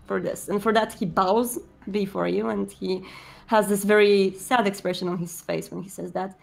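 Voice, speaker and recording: A woman's voice: mid-range voice, fairly even intonation, normal speed, gravelly. A woman narrating her character's actions in an improvised tabletop roleplaying game, phrasing things carefully with some hesitation.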